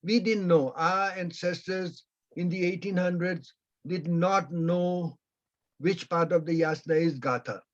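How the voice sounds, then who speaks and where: Persian-speaking man, unhurried and halting, fairly high voice, muffled call audio. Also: steady tone. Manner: slow, halting, with many pauses. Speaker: invited speaker. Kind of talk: answering audience questions. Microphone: video call. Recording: dull lo-fi video call audio.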